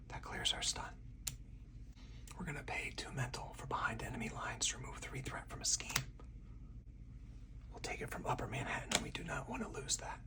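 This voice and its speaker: A man speaking in a hushed ASMR whisper, thinking aloud as he talks through each move of a solo card game. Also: medium pitch, far from the mic.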